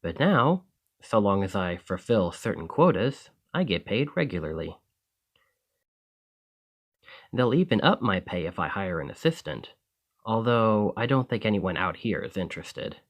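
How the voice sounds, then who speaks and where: A man playing a friendly, excitable village alchemist in an ASMR roleplay, chatting eagerly to the listener in a slightly flustered, rambling way. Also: lowish voice, moderate pace. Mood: friendly, conversational.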